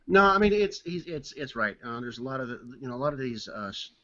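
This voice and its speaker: A man's voice: mid-range voice, big pitch swings, quick pace, a bit bright. A man co-hosting a podcast, rambling and restarting as he explains at length. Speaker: podcast co-host. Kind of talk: casual chat. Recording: dull lo-fi audio.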